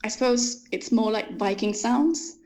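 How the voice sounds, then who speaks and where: A young woman, a native Danish speaker, talking as an author guest in an interview, thoughtful and often laughing, pausing with ums. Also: on the high side, darkish tone. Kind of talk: interview conversation.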